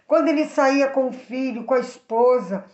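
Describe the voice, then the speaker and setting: A woman's voice: sits high, normal speed, darkish tone. A Brazilian woman telling moral Bible stories to children in an earnest, didactic way, speaking directly to the listener. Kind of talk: moral storytelling with preaching for children. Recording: echoey room, slightly dull sound.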